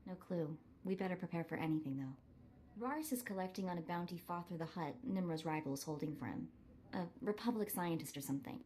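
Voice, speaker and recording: An actress voicing an eager, determined young streetwise sidekick in a cinematic video game, earnest and spirited. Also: female voice, fairly low pitch, lively intonation, a little fast, darkish tone, slight background noise, lightly acted. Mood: confident briefing.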